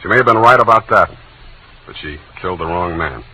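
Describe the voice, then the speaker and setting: An actor playing a wisecracking, sardonic hard-boiled private detective in a 1940s radio style, talking in wry, clipped slang. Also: male voice, sits low, expressive, fairly quick, sharp tone, slightly rough, heavily acted, low-quality recording. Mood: grave, wry. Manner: slangy, wry, clipped tough-guy talk. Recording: old radio drama recording.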